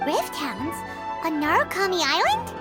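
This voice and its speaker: An actress voicing a tiny, excitable fairy girl companion in a video game, with a squeaky childlike voice and a chirpy, exclamatory manner. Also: measured pace, heavily acted. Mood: curious, confident. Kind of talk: video game character acting. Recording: game music behind.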